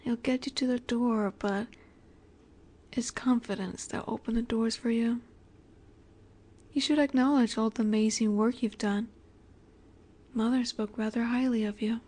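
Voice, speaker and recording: A woman playing a poised, teasing young vampire in an intimate audio roleplay, speaking gently and unhurriedly with breathy asides. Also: fairly high pitch, fairly even intonation, a little slow, long pauses. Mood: warm, caring.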